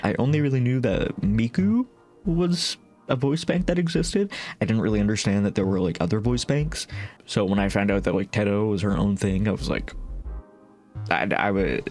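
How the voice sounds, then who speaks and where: A man's voice: on the low side, darkish tone. A man chatting casually through a fan FAQ about making song covers, rambling and self-deprecating, with lots of fillers, restarts and little laughs. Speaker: vocal synth song cover creator. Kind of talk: casual FAQ chat to camera.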